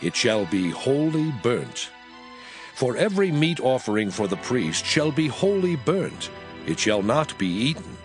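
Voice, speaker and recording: Solemn man reading scripture, lively pitch. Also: music in the background. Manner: solemn, measured reading of archaic text. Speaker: Bible narrator.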